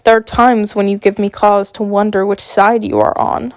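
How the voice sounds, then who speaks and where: A woman's voice: mid-range voice, level tone, normal speed, no room sound, studio quality, lightly acted. A sick woman voicing a smug, cruel young knight in an amateur audiobook, with a mocking edge.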